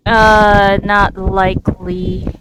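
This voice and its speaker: A woman's voice: medium pitch, level tone, drawn-out words, noisy background. A woman giving casual commentary as she plays a video game, musing aloud as she pieces things together.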